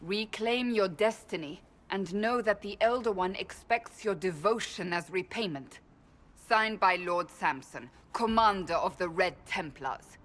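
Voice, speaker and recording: A woman's voice: medium pitch, measured pace, a bit bright, lightly acted. A woman playing a stern, righteous warrior in a video game, blunt, serious and formal in her delivery. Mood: reading formally. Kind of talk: video game character dialogue.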